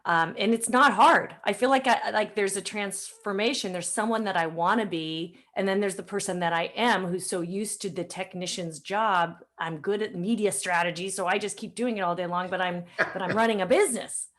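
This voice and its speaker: A woman's voice: mid-range voice, moderate pace, few pauses, fairly bright tone. A woman hosting a podcast in a conversational, informal way, thinking aloud as she talks. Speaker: podcast host. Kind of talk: conversational interview.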